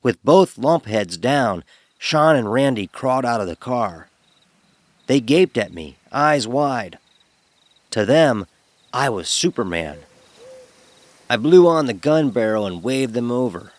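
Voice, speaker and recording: Animated man narrating a horror story. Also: medium pitch, slow pace, long pauses, no room sound. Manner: expressive, acts out dialogue. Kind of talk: dramatic first-person audio horror storytelling.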